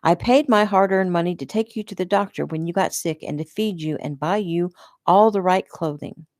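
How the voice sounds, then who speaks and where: A woman's voice: lowish voice, normal speed, darkish tone, dry recording, clean studio sound, close to an everyday voice. A woman reading the part of a cruel, possessive middle-aged mother with a contemptuous, cutting manner. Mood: scornful.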